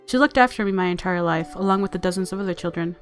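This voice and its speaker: A woman's voice: on the low side, fast talker, soft background music. A woman narrating a visual novel aloud as a let's-player, mixing story reading with casual commentary.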